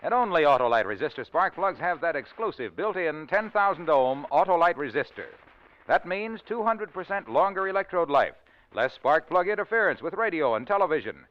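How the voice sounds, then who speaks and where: Animated high-voiced American radio pitchman. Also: brisk. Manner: emphatic, salesman-like delivery. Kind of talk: 1940s radio advertising read. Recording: muffled old radio recording.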